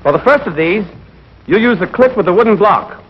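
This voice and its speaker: A man's voice: high-pitched, expressive, brisk, slight background noise. A man with an American accent narrates a military training film as an instructor, speaking directly to trainees in a scripted, instructive way. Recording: dull, band-limited old training film soundtrack.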